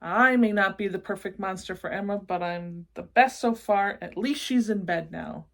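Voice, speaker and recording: A woman voicing a gruff, stubborn male monster who grumbles and growls in a storybook read-aloud. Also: medium pitch, normal speed, fairly bright tone. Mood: grumbling, stubborn. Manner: growling, grunting, thundering.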